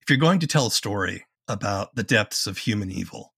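A man hosting a conversational interview podcast, asking and commenting in a measured, thoughtful way. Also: fairly low pitch, animated, gravelly, a bit bright, slightly rough, no room sound, clean studio sound.

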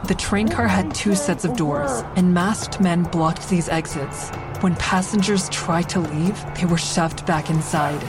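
A woman's voice: fairly low pitch, unhurried, lightly acted. A woman playing a shaken survivor narrating a train robbery in a scripted audio drama. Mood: grave, tense. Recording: music behind narration. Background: dramatic score and train sound effects.

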